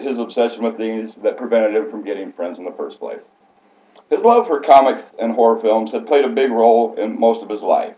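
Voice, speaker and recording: A man reading a prepared biographical speech aloud in a formal, scripted way, stumbling now and then. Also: medium pitch. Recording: echoey room, slightly muffled sound.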